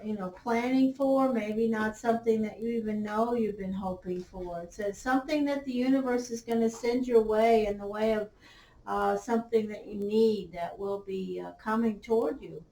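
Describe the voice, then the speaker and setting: A woman doing a tarot reading, losing her voice a little, who talks slowly with many fillers and pauses. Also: mid-range voice, fairly even intonation, mellow, distant mic, slight background noise. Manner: slow, halting, many fillers. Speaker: tarot reader. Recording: roomy, off-mic, faint ambience.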